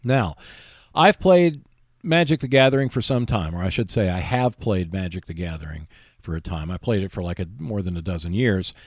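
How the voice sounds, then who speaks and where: A man hosting a hobby show, giving explanatory, semi-scripted commentary in a measured, emphatic way. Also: sits low, animated, dry recording, studio quality. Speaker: host of a board game video show.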